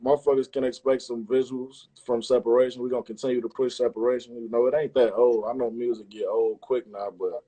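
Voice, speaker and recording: A man's voice: mid-range voice, little pitch movement, fairly quick, dark tone, slightly rough, low-quality recording. Slangy, profane man chatting. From Chicago, United States. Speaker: interview guest, rapper. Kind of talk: casual chat.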